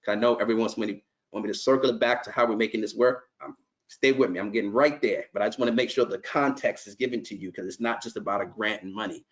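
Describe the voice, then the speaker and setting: A man's voice: fairly low pitch, fast. Earnest middle-aged man giving conference remarks. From New York. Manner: earnest, conversational, with repeated restarts. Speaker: nonprofit executive.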